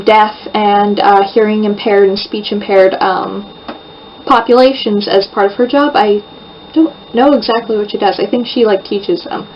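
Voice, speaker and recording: Chatty young woman, muffled distant recording. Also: mid-range voice. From United States. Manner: chatty, rambling, lots of fillers. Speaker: vlogger. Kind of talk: casual chat to camera. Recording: muffled distant home-video sound, faint hiss.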